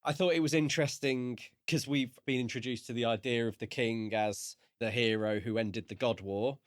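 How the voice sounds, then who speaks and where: A man's voice: mid-range voice, steady tone, moderate pace, no echo, studio quality. A man co-hosting a podcast, talking casually and thoughtfully about a book. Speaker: podcast co-host.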